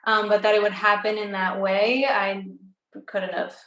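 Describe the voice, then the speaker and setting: A woman's voice: mid-range voice, flat delivery, measured pace, a bit bright. Chatty young woman in an echoey room. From United States. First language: Greek. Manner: bubbly, lots of "like" and "um". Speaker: recording artist guest. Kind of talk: casual interview chat.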